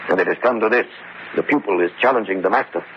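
An actor playing a courtly, sinister, ageless Baron with a formal, suave and pompous manner in a horror radio drama. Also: male voice, fairly low pitch, fast, natural voice. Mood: grave, condescending. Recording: dull radio recording, faint noise.

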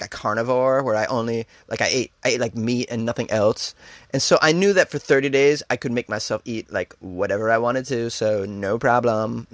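A man with an ordinary, mid-pitched voice reads offbeat news items and breaks off into jokey, disbelieving asides. He keeps laughing at his own jokes. The sound is dry and close, with no room echo. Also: a bit bright. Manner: mock-incredulous asides. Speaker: host. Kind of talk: comedic news reading and riffing.

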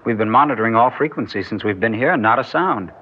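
A man's voice: mid-range voice, expressive, fast talker, lightly acted. An actor playing a dutiful alien communications crewman, reporting formally in an old-time radio drama. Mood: efficient. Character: dutiful, efficient alien communications crewman, male, precise and respectful. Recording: muffled, noisy vintage radio recording.

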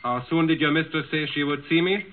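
An actor playing a suave, menacing German Nazi district governor in a 1940s radio drama, with a clipped, condescending manner. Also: male voice, a little high, fairly quick, narrow, tinny audio, a little hiss or hum, close to an everyday voice. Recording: band-limited old 1940s radio recording.

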